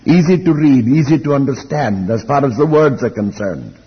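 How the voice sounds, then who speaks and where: Elderly preacher, muffled in a reverberant hall. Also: male voice, medium pitch, animated, breathy edge. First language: Welsh. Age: older, elderly. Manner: deliberate, reflective, with rhetorical questions and repeated phrases. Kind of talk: Bible teaching sermon.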